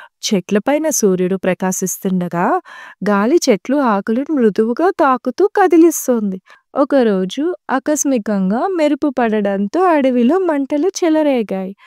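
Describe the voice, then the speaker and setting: Mellow, higher-pitched woman telling children's stories. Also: no room sound, clean studio sound. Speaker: storyteller for children. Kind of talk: scripted children's story narration.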